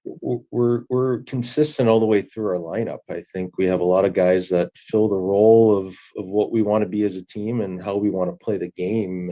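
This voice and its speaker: A man answering interview questions as a hockey coach, halting and repeating words as he thinks. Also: sits low, dark tone.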